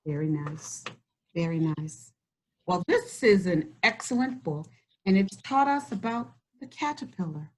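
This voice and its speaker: A woman hosting a children's read-aloud, encouraging and slow, stretching words as she reads. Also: lowish voice, expressive, slight background noise, rough recording. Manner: warm, encouraging, slows and stretches words when reading. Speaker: school district host reading to children. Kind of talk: read-aloud lesson and chat.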